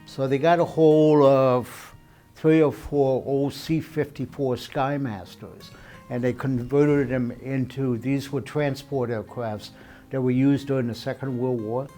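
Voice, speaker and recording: Unhurried older Danish-born man recalling. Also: medium pitch. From Denmark. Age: 70s. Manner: unhurried, conversational storytelling with frequent fillers. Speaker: interviewee. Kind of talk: oral history interview. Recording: soft guitar music underneath.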